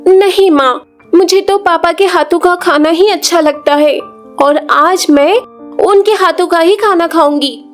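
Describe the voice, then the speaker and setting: A woman's voice: very high-pitched, a little slow, faint music behind, pitched above the performer's own voice. A woman voicing a stubborn, loving young daughter with a childlike, pleading way of talking in a children's story. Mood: insistent, pleading.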